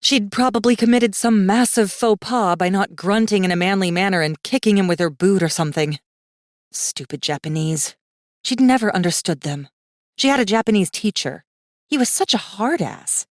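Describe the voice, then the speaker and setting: A woman narrating a fiction audiobook in an expressive, scripted storytelling style. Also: mid-range voice, moderate pace, no echo, clean studio sound.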